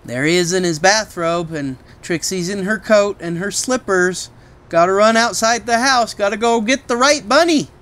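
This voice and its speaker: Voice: medium pitch, moderate pace, fairly bright tone. A storyteller reading a picture book aloud expressively, with casual asides that explain things simply, as to a small child.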